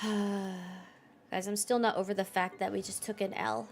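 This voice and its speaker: A woman's voice: medium pitch, flat delivery, measured pace, gravelly, faint music behind. Casual chatty woman livestreaming a game. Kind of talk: casual livestream chat while gaming.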